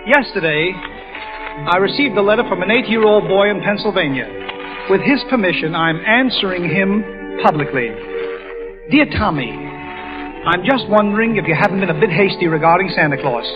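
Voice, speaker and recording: A man's voice: sits high, expressive, moderate pace. A man giving an earnest, reflective radio monologue in 1930s style, addressing the listener directly. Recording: muffled vintage radio recording. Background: music.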